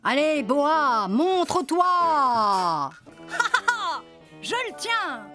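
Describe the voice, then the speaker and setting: A woman voicing a jolly, affectionate older nanny bear in a preschool cartoon, playful and expressive. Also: high voice, very slow, over music, exaggerated voice.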